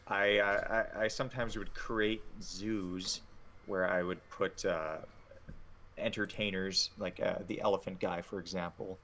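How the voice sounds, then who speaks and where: A man's voice: low voice, steady tone, a little slow, sharp tone. A man co-hosting a gaming show, casually reminiscing and commenting during gameplay. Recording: faint noisy room ambience.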